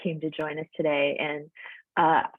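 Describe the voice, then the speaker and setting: A woman's voice: sits low, level tone, measured pace, dry recording. A woman coaching host running a casual webinar, talking in loose, chatty run-on sentences over a video call. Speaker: life coach hosting a webinar. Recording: thin phone-like audio, dry.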